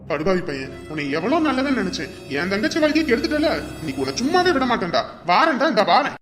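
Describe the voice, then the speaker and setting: A man voicing a groom who speaks gently to his bride and shouts in anger at others. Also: high-pitched, fast talker, music in the background, natural voice. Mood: shouting. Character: hot-tempered man, groom and angry brother.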